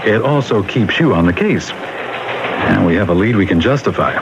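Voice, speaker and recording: A pragmatic police boss in a vintage radio play, low in pitch and matter-of-fact. He sounds shrewd and unruffled on a muffled broadcast with clear noise. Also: lively intonation, moderate pace. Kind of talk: 1970s radio drama acting. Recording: dull, noisy 1970s radio broadcast.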